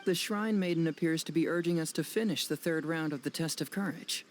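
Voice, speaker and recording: A man voicing a composed, courteous young detective in a video game, reasoning aloud in measured, deliberate phrases. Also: on the high side, slight background noise, close to an everyday voice. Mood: pondering. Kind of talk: video game cutscene acting.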